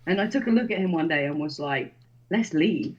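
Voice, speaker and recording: A young woman raised in the UK, telling her story as a podcast guest in a casual, excited way with lots of "like". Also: lowish voice, a little fast. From Poland, raised in the UK. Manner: excited, chatty, fillers of "like". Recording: dull lo-fi sound.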